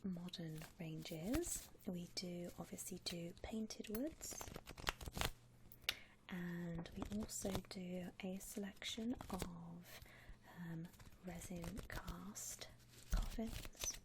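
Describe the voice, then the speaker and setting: Over clear background noise, a woman whispers very slowly, close to the mic, in a breathy ASMR voice. She plays an accommodating shop assistant, gentle and reassuring, with a slightly low pitch for a woman. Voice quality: breathy, mostly unvoiced whisper. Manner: gentle, hesitant, reassuring salesperson patter. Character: polite, accommodating female coffin shop assistant. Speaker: ASMR roleplayer playing a coffin shop saleswoman. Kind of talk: whispered ASMR customer-service roleplay.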